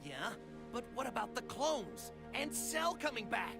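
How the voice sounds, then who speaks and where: High, swooping male anime hero. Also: big pitch swings, unhurried, vocal fry, exaggerated voice. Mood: anxious urgency. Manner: emphatic, informal. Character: earnest, hot-headed good-hearted male martial arts hero. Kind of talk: dubbed anime video game acting. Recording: music behind the voice.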